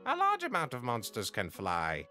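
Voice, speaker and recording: A goofy theatrical cartoon skeleton, super swoopy bright voice, earnest and breezy, music behind. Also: mid-range voice, wildly swooping pitch, normal speed. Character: cheerful, self-centered, theatrical simple-hearted skeleton monster. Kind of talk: comic dub character acting.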